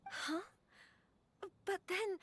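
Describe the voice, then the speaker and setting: A woman's voice: very high-pitched, extremely slow, a bit bright, heavily acted. An actress playing an anxious, gracious young noblewoman in a video game, speaking politely and hesitantly with earnest care. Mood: startled. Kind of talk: scripted video game voice acting.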